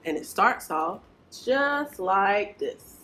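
A woman's voice: medium pitch, animated, takes it slowly, bright, forceful tone, slight background noise. A young woman hosting preschool storytime, reading aloud and chatting to small children in an encouraging, sing-song way. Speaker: library storytime host. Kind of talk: preschool storytime, picture book read aloud.

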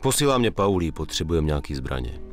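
Deep-voiced, laconic mobster. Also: male voice, very animated. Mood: cool. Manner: terse, matter-of-fact. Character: cool, laconic male mobster in a suit. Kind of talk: Czech video game dubbing.

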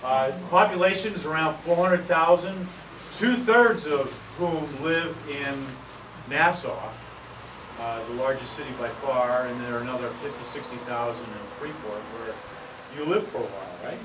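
A man from Maine giving a casual talk outdoors, with many fillers and pauses. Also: mid-range voice. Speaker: presenter. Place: outdoor pavilion among palm trees. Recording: roomy, distant, noisy outdoor sound.

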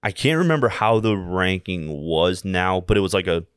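A man in his twenties with an American accent, telling stories casually in a halting, repetitive way as an interview guest. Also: sits low, lively intonation, clean tone, dry recording, clean studio sound. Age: 20s. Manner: halting, rambling, repeats himself while telling anecdotes. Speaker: podcast guest, air traffic controller. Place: small indoor room, seated. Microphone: close podcast mic on boom arm.

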